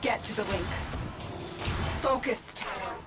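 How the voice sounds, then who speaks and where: A woman's voice: medium pitch, takes it slowly, close to an everyday voice. A woman playing a caring but commanding guide in a video game, giving clipped, earnest instructions. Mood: urgent, protective. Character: protective female guide, urgent, commanding, caring. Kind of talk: video game cinematic voice acting. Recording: music clearly behind the voice.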